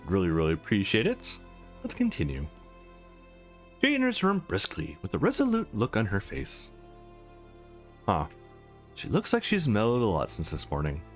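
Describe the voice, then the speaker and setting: Very animated man reading aloud over music. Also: on the low side, normal speed, long pauses. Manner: animated read-aloud with casual asides. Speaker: let's play host and narrator. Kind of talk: reading a visual novel aloud. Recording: music behind the voice.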